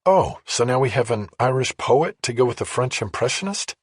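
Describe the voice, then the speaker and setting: A man voicing a sharp, direct woman, a former FBI agent, in an audiobook, asking blunt, probing questions. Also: medium pitch, animated, normal speed, slightly rough, no echo, studio quality, voice pushed up. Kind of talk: audiobook character voice.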